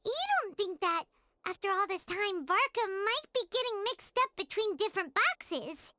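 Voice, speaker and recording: A woman's voice: very high voice, animated, normal speed, bright, forceful tone, no room sound, full character voice. A woman voicing a small, cheerful, talkative childlike fairy companion in a video game. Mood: curious, puzzled. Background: music.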